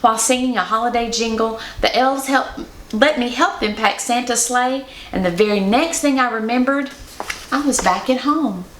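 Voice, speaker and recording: A woman voicing a kindly grandmother who reminisces gently in a children's bedtime story. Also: a little high, normal speed, echoey room, a little hiss or hum, close to an everyday voice. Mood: wistful. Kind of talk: children's storybook read-aloud.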